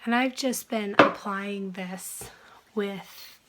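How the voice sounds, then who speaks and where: A woman who is sick and losing her voice, chatting softly through a makeup tutorial, often dropping into a whisper. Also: mid-range voice, takes it slowly, heavy background noise. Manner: soft, chatty, trailing into whispers. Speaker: beauty vlogger.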